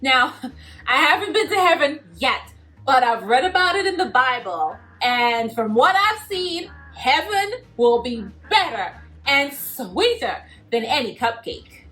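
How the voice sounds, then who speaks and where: A woman hosting a children's Bible lesson, cheerful and animated, laughing often as she talks to kids. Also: high voice, unhurried, faint music behind, rough recording. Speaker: children's story host.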